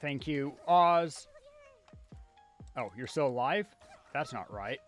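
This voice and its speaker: A man streams a video game and comments casually on his own play. His voice sits at a middle pitch and he talks at a slow pace, often half to himself. Faint music plays behind him. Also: animated. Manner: casual, muttering self-talk while playing.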